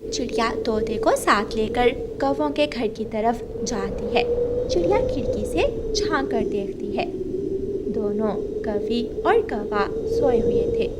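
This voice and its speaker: A woman's voice: fairly high pitch, animated, unhurried, very noisy. A woman narrating a scripted children's story as the storyteller.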